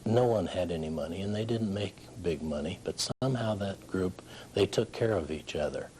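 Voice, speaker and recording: A man giving an informal, reflective interview recollection, pausing and searching for words. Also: sits low, mellow, slight background noise, rough recording. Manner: halting, reflective. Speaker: documentary interviewee.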